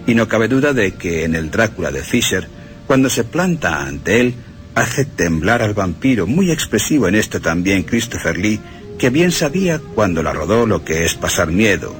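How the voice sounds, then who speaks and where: A man's voice: low voice, big pitch swings, normal speed, faint music behind. A man presenting a scripted radio narration about cinema and literature in a dramatic storytelling way. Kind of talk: scripted film-history narration with dramatic readings.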